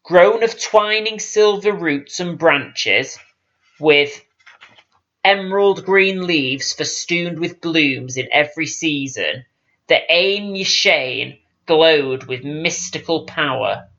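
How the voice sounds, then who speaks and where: A man reading a fantasy audiobook as scripted dramatic narration, in a solemn, measured storytelling manner. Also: on the high side, pushed and bright, dull audio. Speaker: audiobook reader.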